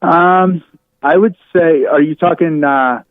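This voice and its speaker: A man's voice: fairly high pitch, slow pace, no room sound. Man on a tinny phone line. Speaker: radio host, interview guest. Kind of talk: casual chat on a phone interview. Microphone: telephone.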